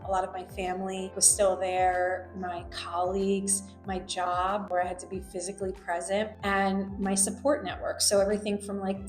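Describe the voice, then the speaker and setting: A woman's voice: medium pitch, a little mellow. A woman who grew up in New Jersey, a lawyer, telling her personal story in a reflective, conversational way with many fillers. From United States, grew up in New Jersey. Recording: echoey room with faint music.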